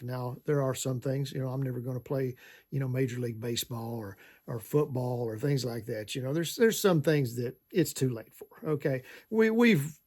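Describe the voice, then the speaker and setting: Gravelly man in his late fifties, rambling. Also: mid-range voice, darkish tone. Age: 50s. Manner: rambling, frequent fillers and restarts. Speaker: vlogger. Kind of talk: casual talk to camera.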